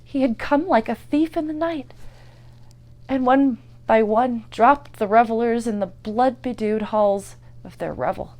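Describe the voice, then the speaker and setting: A woman with a fairly high voice narrates a gothic horror story. Her delivery is theatrical and dramatic, shifting between hushed passages and intense ones. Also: unhurried. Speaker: actor and performer reading a story.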